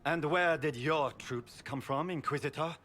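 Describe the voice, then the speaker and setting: An actor playing a proud, defensive grand duke in a video game, formal and indignant. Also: male voice, a little high, measured pace, a bit bright, slight background noise. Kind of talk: video game cutscene acting.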